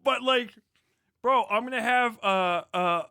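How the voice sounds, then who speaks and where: A man's voice: very high voice, slow, creaky, bright, forceful tone, smooth, no room sound, clean studio sound. A man giving casual, mocking live reaction commentary as a streamer, incredulous and heavily profane.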